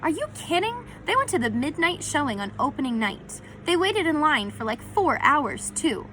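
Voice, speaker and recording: A woman's voice: sits high, big pitch swings, normal speed, a bit bright, slight background noise, pitched above the performer's own voice. A woman voicing a nervous, mildly exasperated teenage boy in a storybook reading.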